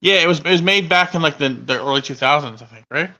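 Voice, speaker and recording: A man's voice: on the high side, fast talker. A man in his thirties chatting casually as a co-host, rambling and correcting himself as he goes. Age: 30s. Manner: rambling, self-correcting, excitable. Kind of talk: casual chat.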